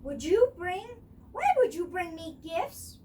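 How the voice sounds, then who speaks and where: A small, distrustful storybook rabbit, voiced by a woman, timid and doubting. The voice sits high and lively in pitch, recorded from slightly far off. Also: takes it slowly, a little hiss or hum, full character voice. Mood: suspicious. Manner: wary, doubting. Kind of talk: storybook character voice.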